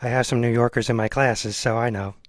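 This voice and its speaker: An American man interviewing casually as a podcast host. He speaks quickly in an average-pitched voice with a dry, close sound, agreeable and somewhat hesitant in phrasing. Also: fairly even intonation, no room sound.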